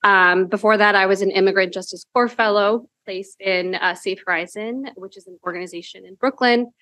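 A woman attorney introducing herself on a panel, quick and self-correcting, at a table microphone in a hearing room. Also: mid-range voice, steady tone, bright, forceful tone, no room sound. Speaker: staff attorney. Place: large law school hearing room.

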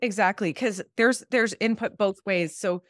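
A woman's voice: mid-range voice, normal speed, no echo, studio quality. A woman in her thirties with a slightly congested voice, explaining health topics informally as an interview guest on a video call. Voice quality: slightly congested, stuffed-up nose. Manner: informal, frequent "like" and "you know". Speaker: guest health practitioner, chiropractor and acupuncturist. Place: small room at home, video call.

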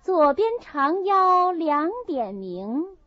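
A woman voicing a lively young maidservant who calls out drinking-game verses in a classic novel reading. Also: very high voice, extremely slow, no echo, voice pushed up, full character voice. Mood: bright, calling out. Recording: dull, muffled audio.

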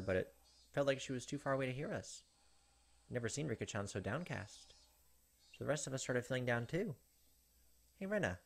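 A man in his thirties hosting a gameplay video, expressively reading a visual novel's narration aloud. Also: medium pitch.

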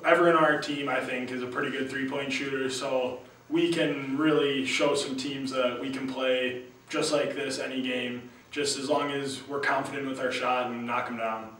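A young man, a college basketball player, giving relaxed, casual postgame answers. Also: medium pitch, moderate pace. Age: young adult. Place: small room at a team backdrop. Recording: very echoey room, distant mic, faint hiss.

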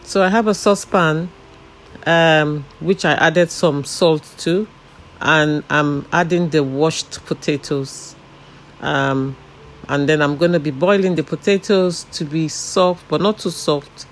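A man casually talking through a home cooking recipe step by step, relaxed and chatty with frequent fillers. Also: a little high, takes it slowly, slight background noise. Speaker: cooking channel host.

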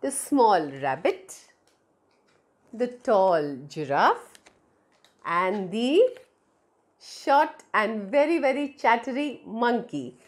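A middle-aged woman with an Indian accent tells a children's story in a fairly high voice. Her intonation is very animated, rising and falling widely as she addresses her young listeners directly and expressively. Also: drawn-out words, long pauses. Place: small indoor room. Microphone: clip-on lapel mic.

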